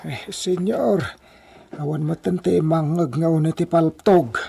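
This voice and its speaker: Meek male henchman , actor, husky creaky voice, slow worried delivery, radio drama. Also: on the high side, mellow, exaggerated voice. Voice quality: gravelly, husky. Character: meek, fretful male henchman serving the master.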